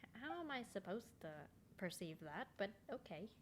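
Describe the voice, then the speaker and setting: A woman's voice: medium pitch, big pitch swings, moderate pace. Offhand young-sounding woman gamer, faint noise. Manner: informal, offhand, slangy. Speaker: gamer recording a playthrough. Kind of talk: casual game commentary, reading dialogue aloud.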